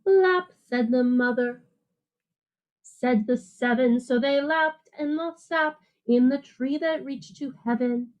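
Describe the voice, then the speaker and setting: A woman teacher reading a picture book aloud to children in an animated, sing-song way, with simple, casual explanations. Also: high voice, takes it slowly, muffled recording.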